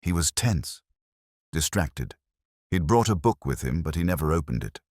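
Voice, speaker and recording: A man voicing a delicate, uneasy lady witness with a soft, hesitant manner in a storybook mystery. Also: rumbling low, lively intonation, normal speed, no echo, clean studio sound. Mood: uneasy, subdued.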